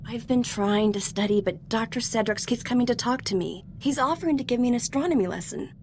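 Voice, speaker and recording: A woman's voice: a little high, brisk, darkish tone, a little hiss or hum, natural voice. An actress playing a modest, eager young female Jedi apprentice in a video game, earnest and a little hesitant in a conversational way. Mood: friendly, sheepish. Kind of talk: scripted video game voice acting.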